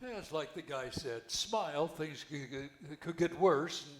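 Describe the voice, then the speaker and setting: An older man reading the part of a wry, skeptical widowed retirement-home resident in an amateur play, halting and repeating words. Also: fairly high pitch, fairly bright tone. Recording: echoey room, background noise.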